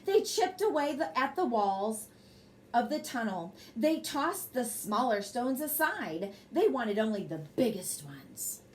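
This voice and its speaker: A woman's voice: fairly high pitch, very animated, slow, slight background noise. A woman reading a children's bedtime story aloud expressively, with brief asides to her young listeners. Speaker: storybook reader and host.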